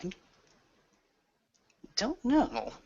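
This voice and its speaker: A man's voice: sits high, wildly swooping pitch, drawn-out words, vocal fry, dark tone, slightly rough. A man roleplaying as a player in a tabletop session, hesitant and thinking aloud as he goes. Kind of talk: improvised in-character roleplay. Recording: dull lo-fi recording.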